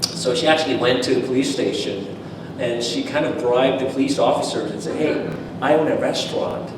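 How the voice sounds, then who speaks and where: A man's voice: medium pitch, moderate pace, noisy background. A man giving a personal testimony at a church seminar, telling stories animatedly with frequent restarts and fillers. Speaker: seminar speaker. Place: church hall. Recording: echoey hall, far from the mic.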